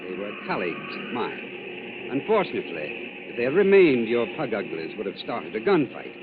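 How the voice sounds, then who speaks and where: An actor playing a smug, fanatical young Nazi saboteur in a 1940s radio drama, formal, precise and theatrically gloating. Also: male voice, on the low side, very animated, moderate pace. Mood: smug, controlled. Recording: muffled, noisy old radio broadcast.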